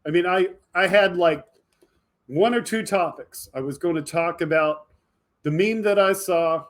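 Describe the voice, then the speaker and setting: A man with a somewhat high-pitched voice hosts a political video podcast. He speaks in an impassioned, emphatic monologue aimed straight at his viewers. His delivery moves between forceful outbursts and quieter asides. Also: unhurried. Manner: emphatic, fired up, addressing viewers directly. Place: indoor home studio with green screen.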